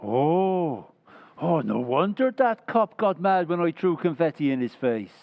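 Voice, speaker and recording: A man doing a dim-witted, cheerful barfly in a radio comedy. His speech is slow and dopey, and his pitch leaps wildly up and down. The recording sounds muffled. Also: very animated. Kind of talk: old-time radio comedy acting.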